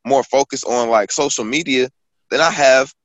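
A man's voice: mid-range voice, moderate pace, fairly bright tone, no room sound, dull audio. Rambling young man telling a story. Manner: rambling storytelling with many fillers. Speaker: guest author and poet. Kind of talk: casual conversation.

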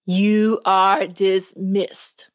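A woman voicing a stern, vexed old male judge with a gravelly voice, slow and emphatic. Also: medium pitch, little pitch movement, dry recording, studio quality, voice pushed down.

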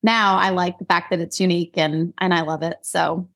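A woman's voice: lowish voice, steady tone, normal speed, fairly bright tone. A woman in her early forties from Idaho, chatting casually as an interview guest, laughing often and restarting her sentences. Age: 40s. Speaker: guest, singer-songwriter.

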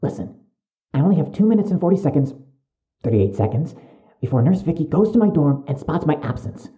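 An actor playing a suspicious young medical student in an audio drama, speaking with precise, urgent, stiffly formal wording. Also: male voice, a little high, sing-song, brisk, mellow, lo-fi sound. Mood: urgent, insistent.

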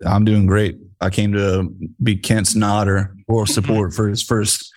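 A man adds short, agreeable remarks in a casual podcast chat. His voice is low and stays fairly level in pitch. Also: little pitch movement, measured pace, a little mellow. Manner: brief, agreeable interjections. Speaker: developer, guest.

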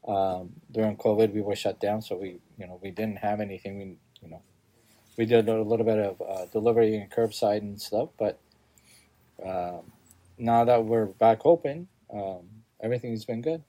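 A man, a shop owner talking casually in an interview, halting as he searches for words. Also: lowish voice, mellow. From Southern California, United States. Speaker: toy store owner being interviewed.

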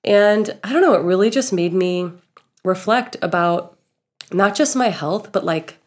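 A woman's voice: medium pitch, measured pace. A woman who sounds a little nasal and under the weather with a cough, chatting casually and reflectively as a podcast co-host. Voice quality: slightly nasal. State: recovering from covid, with a cough. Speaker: podcast co-host, healing coach and speaker.

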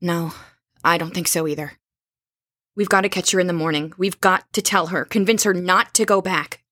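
A woman acting an anxious, resolute young woman in an audio horror drama, speaking in a husky, earnest, urgent hush. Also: lowish voice, fairly even intonation, moderate pace, no echo, clean studio sound.

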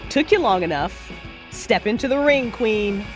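A woman's voice: on the high side, animated, measured pace, music in the background. A woman playing a teasing, confident and bossy superhero fighter in an audio drama. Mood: bossy, playful. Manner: teasing, assertive.

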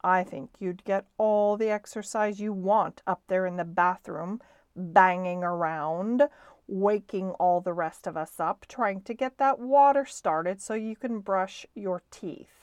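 A sneering male house cat from a children's story acted out by a woman reader. The voice is hushed and breathy, drawling its sharp taunts without any hurry. It is recorded close and dry. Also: mid-range voice, unhurried, no echo, lightly acted. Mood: sardonic.

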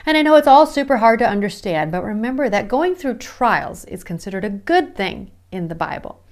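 A woman with a Midwestern American accent leads a daily Bible study podcast. She speaks in an earnest, encouraging tone and reads scripture aloud between her own reflections. Her voice sits at a middling pitch for a woman. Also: sing-song, fairly quick. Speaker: podcast host. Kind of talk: devotional Bible study talk.

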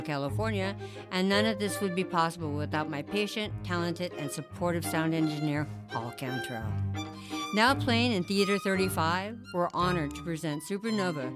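Lowish-voiced woman presenter over music. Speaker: host introducing a podcast audio play. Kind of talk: scripted narration.

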